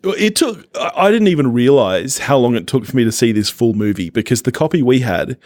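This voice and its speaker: Man, lively varied intonation, dry close sound, enthusiastic and giggly, casual film podcast co-host. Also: medium pitch, fairly quick, no echo.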